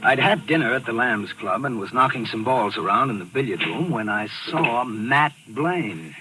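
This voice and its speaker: A man's voice: medium pitch, animated, moderate pace, lightly acted, rough recording. Man acting a brooding jealous husband, old radio mystery. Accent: American. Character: bitter, jealous husband, brooding stage actor narrating his story. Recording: old radio broadcast with loud music.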